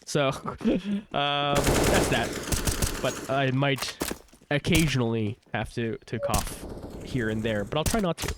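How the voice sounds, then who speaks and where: A young man with a cold calls a shooter match on his own stream, rambling and chatty as he restarts his sentences. His voice sits mid-range, and loud gunfire and clicks from the game run clearly behind him. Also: medium pitch, slow pace, very noisy. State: has a cold, stuffy. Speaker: esports shoutcaster. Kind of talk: casual play-by-play commentary on a livestream.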